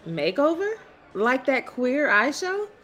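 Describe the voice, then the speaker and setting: Drama mother: middle-aged, folksy, knowing, very lively intonation. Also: sits high, takes it slowly, heavily acted. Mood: knowing, teasing. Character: shrewd, proud mother who needles knowingly. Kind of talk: scripted drama dialogue.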